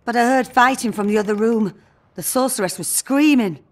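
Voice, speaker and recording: A woman's voice: a little high, normal speed, lightly acted. An actress playing a shaken, secretive townswoman in a fantasy video game, earnest and urgent. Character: shaken, secretive female informant in fantasy town.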